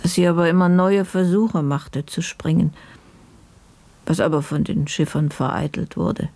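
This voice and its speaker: An actor in a German radio play giving a dry official report in a formal, bureaucratic manner. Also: male voice, fairly high pitch, fairly even intonation, measured pace, gravelly, a little mellow.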